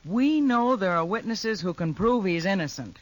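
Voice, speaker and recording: An actor playing a frank, caring friend in a 1950s radio western, blunt and encouraging. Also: male voice, high voice, animated, moderate pace. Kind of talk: 1950s radio drama acting.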